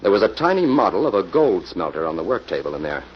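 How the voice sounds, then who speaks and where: Probing investigator: lowish, animated delivery, businesslike manner, vintage radio drama through noisy audio. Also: male voice, fast. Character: calm, determined male investigator working with police. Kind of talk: 1940s radio acting. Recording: muffled, noisy old radio recording.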